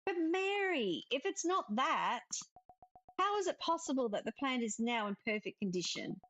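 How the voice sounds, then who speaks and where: A woman's voice: high voice, sing-song, moderate pace, fairly bright tone, faint music behind, voice pushed up. A woman voicing a friendly, eager and curious young witch in a cozy game. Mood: curious, surprised.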